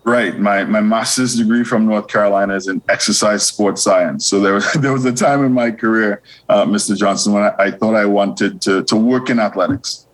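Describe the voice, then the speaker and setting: Low husky Trinidadian-born man. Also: fairly even intonation. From Trinidad and Tobago. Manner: measured, many fillers and restarts. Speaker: university administrator, guest. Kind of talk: interview answers.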